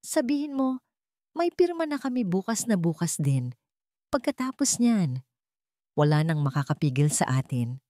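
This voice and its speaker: A woman's voice: on the low side, sing-song, moderate pace, pauses often, mellow, dry recording, clean studio sound. A woman with a Filipino accent voicing a controlling, scheming villain husband in an audiobook drama, commanding and threatening. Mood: smug, scheming.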